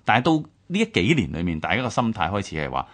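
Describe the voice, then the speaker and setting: A male radio co-host with a Hong Kong Cantonese accent offers conversational commentary on history. His voice sits low, and his intonation moves up and down in a lively way. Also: quick pace.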